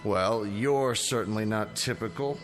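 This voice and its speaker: An actor playing a stubborn, rational district attorney in a radio drama, arguing in a measured, lawyerly way. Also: male voice, fairly low pitch, over music, lightly acted. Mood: skeptical, firm.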